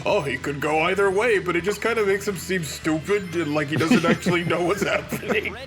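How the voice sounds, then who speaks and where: A man's voice: high voice, brisk. A man giving casual, joking commentary as a let's play host. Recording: game music behind voice.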